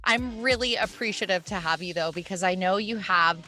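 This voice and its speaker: A woman's voice: mid-range voice, fairly quick, pushed and bright, faint music behind. A woman hosting a podcast, chatty and upbeat as she interviews a guest. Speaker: podcast host.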